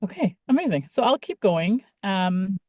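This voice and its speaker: Upbeat Canadian woman with a mellow voice. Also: medium pitch, very animated, normal speed, dry recording, studio quality. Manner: upbeat, chatty. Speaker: guest speaker. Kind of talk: friendly presentation.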